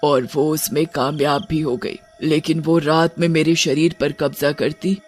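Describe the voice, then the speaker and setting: A man voicing a cursed old grandmother witch, menacing yet tender, in an animated horror bedtime story. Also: fairly high pitch, unhurried, faint music behind. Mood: regretful.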